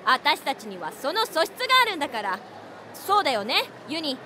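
A woman's voice: sits high, big pitch swings, moderate pace, sharp tone, a little hiss or hum, heavily acted. A woman playing a hot-blooded, confident tomboy schoolgirl witch in an anime-style voice drama, brash and emphatic. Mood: indignant. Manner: brash, boyish wording, emphatic.

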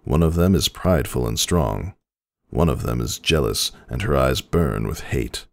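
A man narrating a story dramatically in a measured, solemn manner. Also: a bass voice, lively intonation, creaky, dry recording, studio quality.

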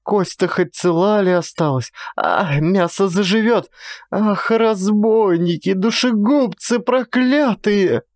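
A man voicing an old Cossack mother, plaintive and doting, lamenting with drawn-out cries in an audiobook drama. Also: high voice, no room sound, clean studio sound, pitched above the performer's own voice. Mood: wailing, distressed.

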